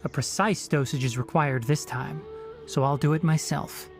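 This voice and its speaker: A man voicing a calm, stern young male forest ranger in a game, speaking in a measured, matter-of-fact, slightly dry way. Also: a little high, close to an everyday voice. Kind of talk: game dialogue voice acting. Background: soft game music.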